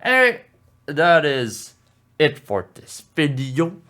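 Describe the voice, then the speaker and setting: A man's voice: on the high side, big pitch swings, takes it slowly, sharp tone. A young man casually talking through his game progress in a rambling, upbeat way, with many fillers and restarts. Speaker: gaming video creator. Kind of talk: casual game commentary vlog.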